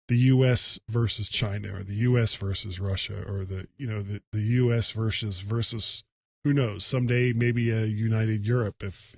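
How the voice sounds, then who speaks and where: A man hosting a casual solo tech livecast, talking in a rambling, halting, self-deprecating way. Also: lowish voice, no echo.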